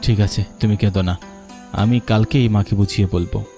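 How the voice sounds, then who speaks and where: Man acting a mellow, caring young husband. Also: fairly low pitch, steady tone, normal speed, faint music behind, pitched below the performer's own voice, lightly acted. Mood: concerned, soothing. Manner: coaxing, reasoning. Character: caring young husband and persuasive, gentle, reasoning son. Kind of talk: animated story character acting.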